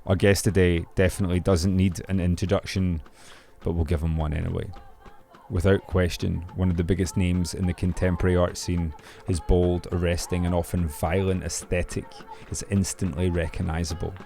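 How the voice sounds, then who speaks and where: Lowish-voiced male podcast host. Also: little pitch movement, moderate pace, gravelly, warm tone. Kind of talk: scripted intro and casual interview chat.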